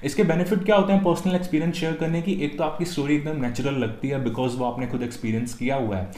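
A man's voice: medium pitch, fast talker. A young man from India with an Indian accent, teaching exam tips in a video lesson and stressing each tip title. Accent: Indian English. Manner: energetic, punches each tip title louder. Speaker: test-prep tutor. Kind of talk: scripted video lesson, Hindi-English mix. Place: small room at a desk. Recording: echoey room.

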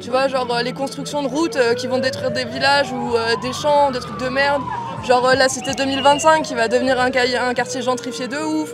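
A man's voice: very high voice, fairly even intonation, a little fast, music in the background, crowd noise. A man at a carnival speaking bluntly in crude slang. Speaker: carnival participant.

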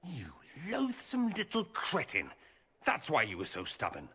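A man's voice: fairly high pitch, huge pitch swings, unhurried, sharp tone, long reverb tail, exaggerated voice. An actor playing a witty, flirtatious male mage who talks in a dry, teasing, sardonic way. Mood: teasing, wry. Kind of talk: video game voice acting.

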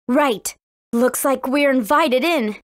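A spirited young heroine from a video game, with a high, forthright voice. She is earnest and quick to show indignation, recorded dry and close. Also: no echo. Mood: resolute.

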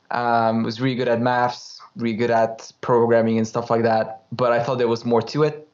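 A young man chats freely as a guest. His pitch is mid-range and his manner is informal and easygoing. The audio is a little dull, as over a video call. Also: a little mellow. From Romania. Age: young adult. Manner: chatty, laughs easily, loose and informal. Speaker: guest, course creator. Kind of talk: casual interview storytelling. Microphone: headphones, video call.

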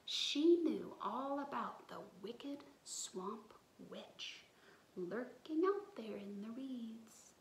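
A woman in her thirties reads a children's story expressively, her voice fairly high. She stretches words for drama, and the small room adds echo. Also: a bit bright, slight background noise. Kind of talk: expressive picture-book read-aloud for children. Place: small bright room indoors. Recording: roomy indoor echo.

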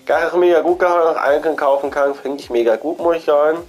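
A man's voice: on the high side, fairly even intonation, quick pace, a little mellow, soft background music. Chatty man with a cold. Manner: chatty, repetitive, thinks aloud. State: has a cold. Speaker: gaming channel host. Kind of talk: casual game shop commentary.

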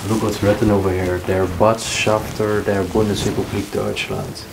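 A man's voice: fairly low pitch, level tone, moderate pace, vocal fry, background noise. A young man casually narrating a walk through an abandoned house, curious and pointing things out as he goes. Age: young adult. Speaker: urban explorer.

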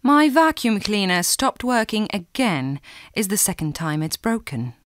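A woman giving a clear, measured scripted reading for a language listening exam. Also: medium pitch, big pitch swings, dry recording, clean studio sound. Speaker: exam recording reader.

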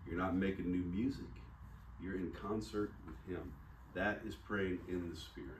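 A man's voice: sits low, fairly even intonation, normal speed. Man preaching in a roomy hall. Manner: folksy, jokey teaching with laughs. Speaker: pastor. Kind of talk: sermon. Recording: roomy church hall, distant mic, faint hiss.

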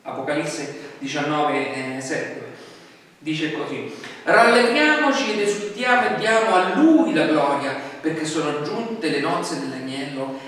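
Male pastor, 40s, chatty sermon delivery, slightly high voice, heard from afar in a very reverberant hall. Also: a little slow, a bit bright. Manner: conversational preaching with rhetorical questions and frequent fillers. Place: small church hall, indoors. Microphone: distant camera mic. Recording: echoey hall from far off with faint noise.

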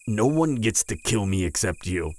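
A man's voice: lowish voice, very animated, darkish tone, slight background noise. A man playing a proud, wounded vampire hunter in an audio roleplay, stammering and strained with pain. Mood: grudging, wary. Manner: stammering, halting, breathy sighs and groans. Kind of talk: intimate audio roleplay acting.

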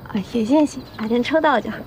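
A young actress playing a playful, stubborn young food lover in a TV romance drama. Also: female voice, fairly high pitch, rapid-fire, background noise, close to an everyday voice. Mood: cheerful. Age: young adult.

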